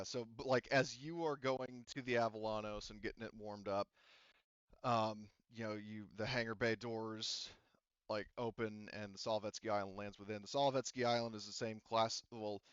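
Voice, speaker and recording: A man with a smooth, slightly low voice works as game master in a tabletop session. He improvises his narration in a casual, halting way. The recording is close and dry but a little dull. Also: a bit bright, no room sound. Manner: halting, searching for words.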